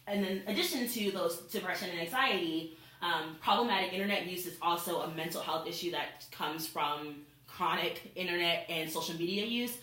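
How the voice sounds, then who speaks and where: A woman's voice: fairly low pitch, sharp tone. A young adult woman, a college student, gives an informal persuasive speech to her classmates in a classroom, recorded by a camera across the room. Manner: informal, addresses classmates directly. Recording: echoey classroom.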